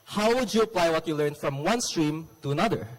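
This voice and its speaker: A teenage boy co-hosts a school talk show in an upbeat, rehearsed way. His voice sits very high, and he adds fillers when he moves to open questions. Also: lively intonation, brisk, rough recording. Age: teens. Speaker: student host. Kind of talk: scripted show hosting. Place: school hall.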